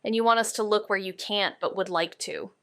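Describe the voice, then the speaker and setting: An actress plays a young woman sleuth in a noir audio drama, recorded close and dry. She is sardonic and watchful, delivering narration and dialogue with a deadpan edge at a middling pitch. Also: brisk, smooth, no echo, close to an everyday voice. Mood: guarded. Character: wry, wary young woman amateur detective, dryly narrating.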